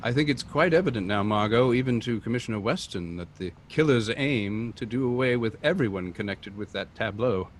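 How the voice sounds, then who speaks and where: An actor playing a composed, refined gentleman sleuth in an old-time radio drama, speaking formally. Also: medium pitch, lively intonation, a little fast, hardly any pauses, lightly acted. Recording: noisy vehicle ambience behind.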